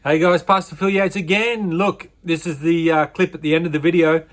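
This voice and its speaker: A male pastor guides young people through a worksheet. His voice sits a little high for a man, and his delivery is casual and rambling. He speaks as if to a familiar group. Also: normal speed. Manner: relaxed, conversational, with fillers and restarts. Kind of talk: instructional talk explaining an honour's requirements.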